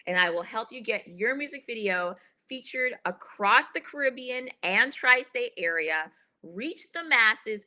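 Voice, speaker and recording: Female host, crisp and bright, upbeat interviewer with a scripted promo read. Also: medium pitch. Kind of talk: interview hosting.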